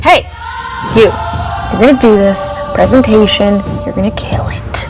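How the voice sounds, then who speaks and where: A woman's voice: mid-range voice, unhurried, natural voice, low-quality recording. An actress playing an anxious young office worker who is dreading a presentation, with music playing behind her. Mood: chatty. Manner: nervous, fumbling, self-deprecating. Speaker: employee giving a presentation. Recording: comedy sketch with music behind.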